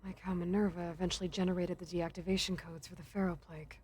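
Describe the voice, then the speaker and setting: A determined young huntress. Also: female voice, fairly low pitch, little pitch movement, fast talker, darkish tone, slightly rough. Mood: thoughtful. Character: determined young woman hunter questioning an AI. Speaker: game protagonist.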